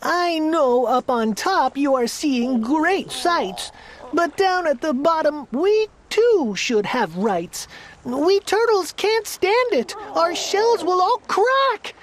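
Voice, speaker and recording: A woman's voice: high voice, very animated, slow pace, a little hiss or hum, pitched above the performer's own voice, exaggerated voice. A woman voicing a meek little male turtle at the bottom of a stack, pleading and plaintive.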